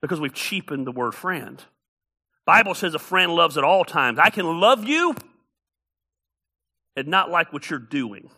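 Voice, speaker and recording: Bright, high-voiced preaching man. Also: very animated, unhurried, long pauses, breathy edge. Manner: emphatic, repeats key phrases, rises to loud peaks. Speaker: pastor. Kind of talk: sermon.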